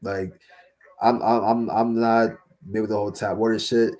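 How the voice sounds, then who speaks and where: A young man hosting a casual livestream Q&A, rambling with lots of fillers and slang, his voice a little raspy from talking all day. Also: lowish voice, level tone, measured pace, a little mellow. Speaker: livestream host answering chat.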